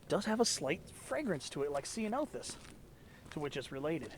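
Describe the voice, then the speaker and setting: Congested man, slightly high voice, enthusiastic hiking vlogger, outdoors, faint noise. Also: big pitch swings, moderate pace. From Southern California. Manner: enthusiastic, rambling, botanical asides. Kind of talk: casual walk-and-talk narration. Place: outdoors in a canyon on a trail.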